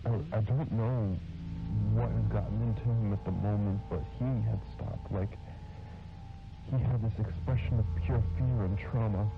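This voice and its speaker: A man playing a troubled witness in a mock documentary interview, speaking haltingly and repeating his words. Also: fairly low pitch, steady tone, lightly acted. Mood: confiding, uneasy. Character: troubled male witness interviewed about eerie events. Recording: dull lo-fi interview tape under music.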